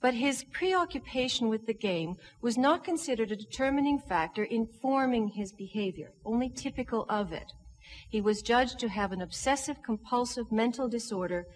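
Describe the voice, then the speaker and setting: A woman's voice: a little high, expressive, moderate pace, slight background noise, rough recording. Woman narrating a serious news documentary. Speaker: television news reporter.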